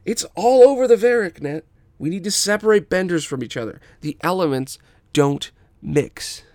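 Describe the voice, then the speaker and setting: A man's voice: high-pitched, expressive, moderate pace, no echo, clean studio sound, voice pushed up. A man voicing a brash young firebender bully who jeers and taunts in a fan-made storybook. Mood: taunting. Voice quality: loud, jeering.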